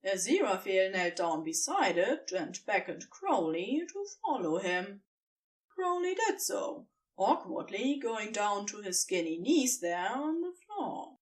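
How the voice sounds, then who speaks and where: A woman reads a story as its narrator, slightly off the microphone. Her delivery is slow and expressive, with wide swings of intonation in a scripted storytelling style. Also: mid-range voice, recorded from a distance, poor audio.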